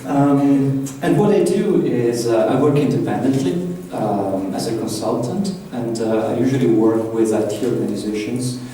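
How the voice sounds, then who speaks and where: A man's voice: mid-range voice, normal speed, hardly any pauses, mellow. A man with an Italian accent, leading a workshop in a chatty, loose way and asking the room questions. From Italy. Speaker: workshop trainer. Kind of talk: live workshop talk to an audience. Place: large echoey conference room. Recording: echoey room from far off, faint hiss.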